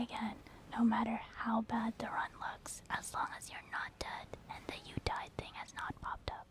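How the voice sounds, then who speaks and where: Woman, whispered ASMR, soft and breathy, slightly high voice, casual encouraging gaming commentary.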